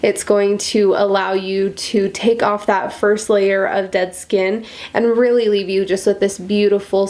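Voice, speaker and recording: Nasal, congested American woman vlogger. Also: medium pitch. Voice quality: nasal, stuffy. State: congested from a cold. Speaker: beauty vlogger. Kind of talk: casual chatty beauty tutorial to camera.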